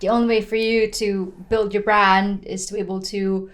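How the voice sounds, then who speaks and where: A woman's voice: mid-range voice, little pitch movement, normal speed. Chatty woman of twenty. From Philippines. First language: Tagalog. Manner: chatty, many "like" and "you know" fillers, laughs often. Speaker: podcast guest. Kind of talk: casual interview chat.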